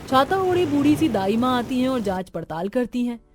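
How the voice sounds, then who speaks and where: A woman's voice: fairly high pitch, big pitch swings, brisk, background noise. A woman reading dramatic scripted narration as the storyteller. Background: music.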